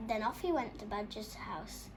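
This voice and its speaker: A woman reading a children's picture book aloud as an expressive storyteller. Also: medium pitch, moderate pace, noisy background.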